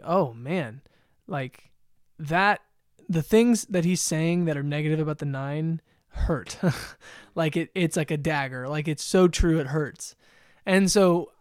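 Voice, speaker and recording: A man's voice: fairly high pitch, smooth, no room sound, clean studio sound. A man co-hosting a podcast in casual chat, rambling with frequent laughs and fillers. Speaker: podcast co-host.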